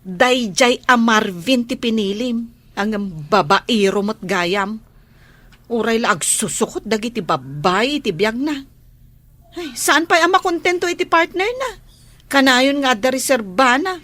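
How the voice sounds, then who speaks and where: A woman's voice: fairly high pitch, expressive, measured pace, a bit bright, no echo. A woman acting as a teasing, outspoken friend giving love advice in an audio drama, with an Ilocano accent. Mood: teasing, insistent.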